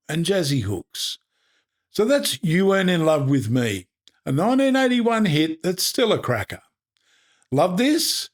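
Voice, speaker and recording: Australian man hosting, slightly high-pitched, music behind. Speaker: music history host. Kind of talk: upbeat scripted narration. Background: background music.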